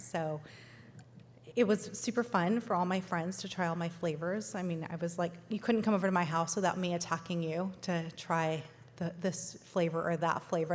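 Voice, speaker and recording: A woman's voice: fairly low pitch, a little mellow. A woman who grew up in Los Angeles gives an informal, conversational keynote as a founder. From Los Angeles, born in Sudan. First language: not English, learned it at five. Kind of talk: informal keynote talk to an audience. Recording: echoey hall, long reverb.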